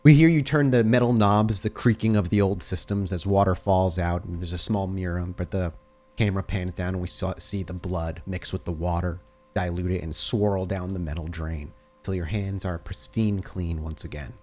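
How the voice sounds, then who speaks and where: A man's voice: sits low, steady tone, moderate pace, darkish tone, slight background noise. A man improvising narration as game master of a tabletop game, describing each scene in detail.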